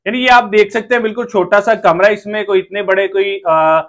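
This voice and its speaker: A man in his thirties hosts an interview in a small workshop. He speaks with a Pakistani accent in a high-pitched voice, putting questions to his guests. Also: fairly even intonation, a little fast. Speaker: interviewer, video host. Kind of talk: on-location interview. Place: small brick-walled workshop room indoors. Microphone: lapel.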